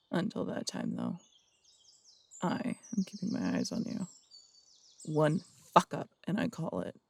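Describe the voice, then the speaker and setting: A man's voice: on the high side, creaky, husky, no echo, heavily acted. A man playing a stern male detective who runs the morgue, blunt and warning in an audio drama.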